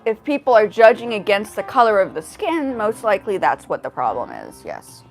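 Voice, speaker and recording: A woman's voice: mid-range voice, huge pitch swings, normal speed, soft background music. Woman in her forties, rambling livestream chat. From grew up in South Africa, the United States and Japan. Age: 40s. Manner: rambling, full of restarts and asides to chat. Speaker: livestream host.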